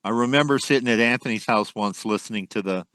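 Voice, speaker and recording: An older man, a former musician, reminiscing at length in a casual interview, rambling with many pauses. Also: on the low side, fairly bright tone, no room sound. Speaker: guest, musician.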